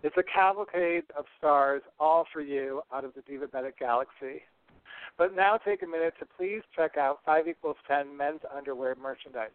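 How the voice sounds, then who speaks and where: Smooth clear guy doing upbeat podcast host stuff, dry sound, kinda lo-fi. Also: on the high side, dry recording. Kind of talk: upbeat scripted podcast hosting.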